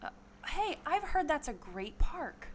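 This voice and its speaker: A woman acting a high, animated, questioning adventurer. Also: measured pace, slight background noise. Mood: skeptical. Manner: expressive, questioning. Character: skeptical but game female adventurer companion. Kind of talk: scripted audio adventure acting.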